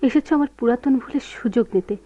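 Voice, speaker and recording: A woman's voice: fairly high pitch, fast, mellow, no echo, lightly acted. A woman acting an anguished, accusing married woman, with theatrical, strained delivery in a Bengali radio drama. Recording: dry, dull lo-fi radio recording.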